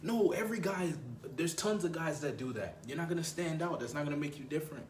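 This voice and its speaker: A young man with a low voice, giving dating advice in a casual, slangy way and often repeating himself for emphasis. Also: animated, quick pace, roomy sound, slight background noise. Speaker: dating coach.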